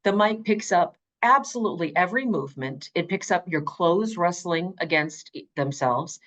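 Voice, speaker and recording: A woman's voice: lowish voice, expressive, normal speed, fairly bright tone. A middle-aged woman giving conversational, emphatic interview answers as an audiobook narrator.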